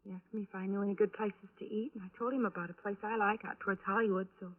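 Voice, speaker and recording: A woman's voice: mid-range voice, fairly even intonation, very fast, natural voice. A woman acting a weary, guarded young witness in a 1950s radio drama, subdued and defensive. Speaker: actress in a radio crime drama. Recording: narrow-band vintage 1950s radio recording.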